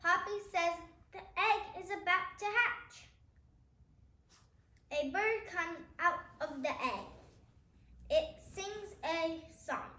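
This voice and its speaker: A child reading a picture book aloud in a halting way, adding casual comments along the way. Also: extremely high pitch, sharp tone. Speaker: child reader, host of a reading channel. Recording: echoey room.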